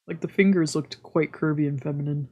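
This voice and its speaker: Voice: medium pitch, fairly even intonation, a little fast, darkish tone, dull audio. A streamer reading a visual novel aloud as storytelling narration, with casual musing asides. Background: visual novel background music.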